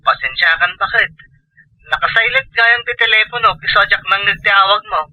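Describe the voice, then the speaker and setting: A man acts out a shifty, sheepish husband in an Ilocano comedy drama. His high voice halts and stammers through excuses. The sound is muffled and lo-fi. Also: sharp tone, no room sound. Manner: halting, stammering. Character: evasive, sheepish gambling husband making excuses.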